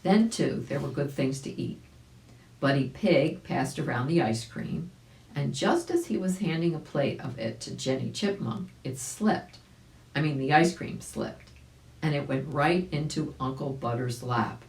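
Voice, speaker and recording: An older woman around her seventies reading a children's story aloud, expressively and deliberately, indoors a few feet from the camera. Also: low voice, animated, a little mellow. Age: older, about 70s. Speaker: volunteer storybook reader. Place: small indoor room at home. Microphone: camera a few feet away. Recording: roomy home recording.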